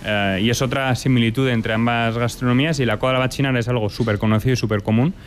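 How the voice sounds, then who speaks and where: A man from Italy and Spain who runs a restaurant, answering interview questions in a lively way and dropping in Italian food terms. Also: medium pitch, fast. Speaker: restaurant owner.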